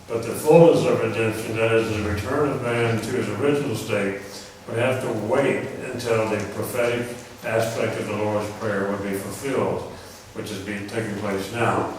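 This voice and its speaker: A soft-spoken man giving a rambling religious talk to an audience, often clearing his throat. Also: on the low side, steady tone, normal speed, hardly any pauses, slight background noise. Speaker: religious speaker. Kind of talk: informal lecture to an audience. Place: meeting hall before a live audience. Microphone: microphone through a speaker system. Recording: echoey hall, heard from far off.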